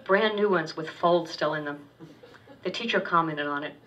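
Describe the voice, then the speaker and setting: A woman author reading her first-person fiction aloud at a public university reading, steady and wry with small stumbles. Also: low-pitched, moderate pace. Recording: distant dull lo-fi recording.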